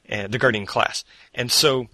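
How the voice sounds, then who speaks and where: A man's voice: mid-range voice, steady tone, normal speed, bright, forceful tone, no echo. A man hosting a casual gaming podcast from home, rambling with fillers and restarts into a headset mic. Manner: rambling, self-deprecating, many fillers and restarts. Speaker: podcast host. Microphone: borrowed headset mic off to the side.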